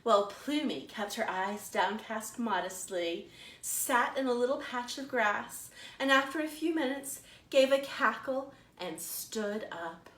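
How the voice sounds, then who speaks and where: A bright-voiced American woman tells a story for preschoolers in a roomy, echoing space. Her pace is slow and deliberate, and she leans on words for dramatic emphasis as a children's librarian would. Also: fairly high pitch, animated. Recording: roomy echo.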